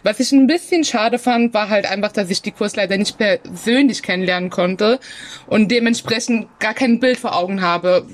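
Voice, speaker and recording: A woman's voice: mid-range voice, moderate pace. A woman in her twenties, a student guest, chatting casually on a podcast. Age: 20s. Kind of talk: casual chat.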